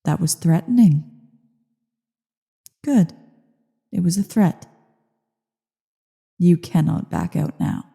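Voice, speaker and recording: An ancient female dragon, played by a woman for a fantasy ASMR roleplay, speaks in a low, very dark voice with imperious menace. Her words come slowly and deliberately, and the sound hangs in a long cavernous reverb. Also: creaky, slightly rough, full character voice. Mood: menacing. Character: ancient evil female dragon, haughty, menacing, newly woken.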